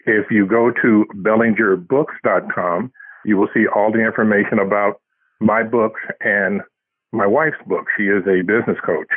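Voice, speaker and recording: Male interview guest, low voice, casual delivery, narrow phone-line sound. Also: fairly even intonation, normal speed, no room sound.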